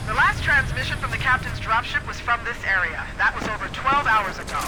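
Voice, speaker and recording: A woman playing a brisk, businesslike military dropship pilot in a video game cutscene. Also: fairly high pitch, animated, close to an everyday voice. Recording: noisy mix with loud vehicle sounds.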